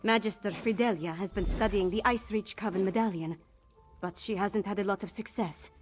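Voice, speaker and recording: Brisk young noblewoman over game music, acted by a woman. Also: medium pitch, close to an everyday voice. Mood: concerned. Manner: frank, businesslike briefing. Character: brisk, determined young noblewoman, exasperated with her father. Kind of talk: fantasy video game dialogue.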